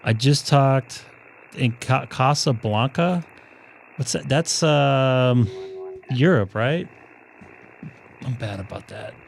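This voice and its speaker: A man's voice: medium pitch, slow, creaky, pauses often, a little hiss or hum. A man hosting a ham radio live stream, chatting casually and poking fun at himself. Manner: self-deprecating, rambling. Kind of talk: casual on-air chat.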